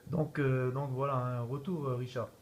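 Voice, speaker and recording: A man's voice: mid-range voice, flat delivery, moderate pace, very noisy. A man chatting casually as an amateur radio operator, in an easygoing way with frequent repeated tag words. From France. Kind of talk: casual radio contact chat.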